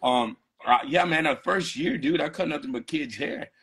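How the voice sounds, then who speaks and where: Husky barber telling animated stories. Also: male voice, a little high, normal speed. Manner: animated, slangy, laughs at his own stories. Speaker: barber guest. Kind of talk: casual storytelling. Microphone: phone on a live video call.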